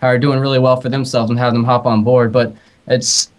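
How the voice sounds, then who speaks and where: A man's voice: mid-range voice, level tone, a little fast. A man who plays in a band answers casually as a radio guest, explaining things earnestly and informally. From outside the UK. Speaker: band member, interview guest.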